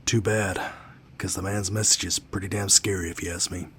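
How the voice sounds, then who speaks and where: An actor playing a sceptical onlooker in an audio drama, talking in a casual, wry way. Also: male voice, sits low, steady tone, brisk, fairly bright tone, a little hiss or hum. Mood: wary.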